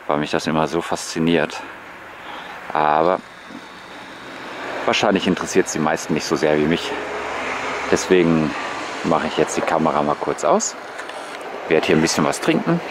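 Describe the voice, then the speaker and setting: A man giving relaxed, musing, casual commentary to viewers as a vlogger on a bike tour. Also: rumbling low, normal speed, pauses often. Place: outdoors on rural paths and waterside. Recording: noisy outdoor recording with passing vehicles.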